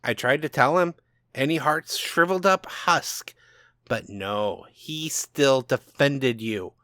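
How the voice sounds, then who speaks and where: Angry adult daughter read by a man, raised in pitch above the narrator, heated, storybook drama, dry recording. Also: slow pace, dry recording. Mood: exasperated, hurt. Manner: forceful, heated, exasperated. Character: angry grown daughter, exasperated with her father.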